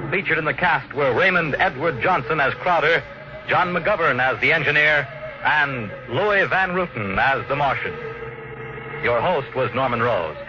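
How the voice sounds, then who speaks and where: An American man working as a radio announcer, reading scripted credits in formal 1950s style. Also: on the high side. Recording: dull vintage radio recording with music.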